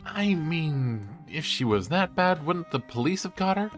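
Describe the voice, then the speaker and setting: A man's voice: a little high, sing-song, a little slow, faint music behind. A man playing a cheeky, eager young college true-crime podcaster in an audio drama, with a playful, theatrical delivery. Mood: eager, speculating. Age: young adult. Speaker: podcast co-host. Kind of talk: scripted banter in a horror audio drama.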